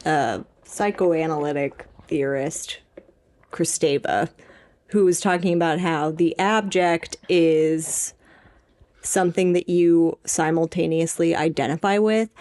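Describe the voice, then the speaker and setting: A woman's voice: low-pitched, takes it slowly, darkish tone. A young woman co-hosting a podcast in casual chat, explaining things informally and swearing casually. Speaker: podcast co-host.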